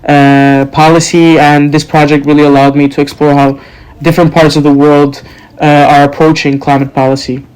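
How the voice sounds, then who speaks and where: Smooth-voiced young man, steady delivery. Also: a little high, moderate pace, rough recording. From Serbia and Norway. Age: 20s. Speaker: student guest. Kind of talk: interview answers.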